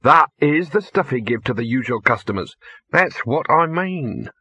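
A man voicing a big, slow-witted, literal-minded troll who talks bluntly in halting broken grammar, in a storybook reading. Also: medium pitch, animated, sharp tone, slightly rough, dry recording, pitched above the performer's own voice, full character voice. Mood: stubborn.

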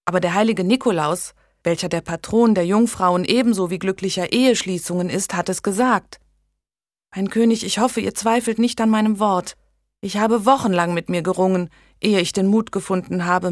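A woman's voice: medium pitch, no room sound, studio quality, pitched above the performer's own voice, lightly acted. A woman voicing a pious young noblewoman who earnestly pleads with a king, in a storybook reading. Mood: fervent. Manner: earnest, imploring.

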